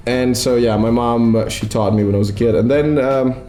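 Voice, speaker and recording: A young man from Latvia, chatting on a podcast in a curious, animated way. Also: mid-range voice, brisk, reverberant. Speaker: podcast co-host, actor. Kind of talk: casual chat.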